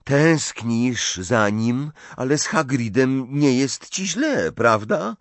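A man's voice: mid-range voice, expressive, slow pace, no echo, pitched above the performer's own voice. A man voicing an earnest, stubborn teenage boy wizard in an audiobook, plain-spoken and defiant. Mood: gentle.

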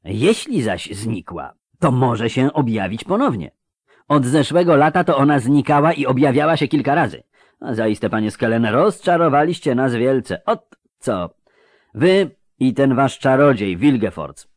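A man's voice: medium pitch, big pitch swings, a little slow, no room sound, voice pushed up. Storybook nobleman, proud dynastic zealot, grating and indignant, male narrator acting, dry recording. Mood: scornful. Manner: indignant, haughty.

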